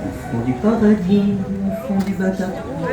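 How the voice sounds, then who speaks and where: Solemn woman half-singing over loud music. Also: mid-range voice, big pitch swings, roomy sound, far from the mic. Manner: slow, solemn, half-spoken half-sung. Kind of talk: sung and recited tribute poem.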